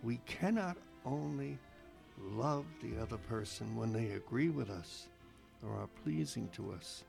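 Man, radio co-host, measured earnest reflection, slow, faint music underneath. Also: medium pitch, expressive, gravelly. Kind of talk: slow devotional reflection reading.

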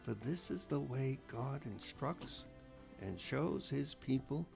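Man, radio co-host, measured earnest reflection, slow, faint music underneath. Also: medium pitch, animated, gravelly. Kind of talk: slow devotional reflection reading.

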